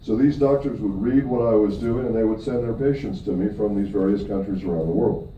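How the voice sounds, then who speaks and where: A man's voice: fairly low pitch, fairly quick, warm tone. A middle-aged man from Michigan gives a seminar talk to an audience, emphatic, with upward swings on key points and frequent check-ins of "okay?" with the room. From Michigan, USA. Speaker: presenter at a company event. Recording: distant, echoey, dull audio with faint noise.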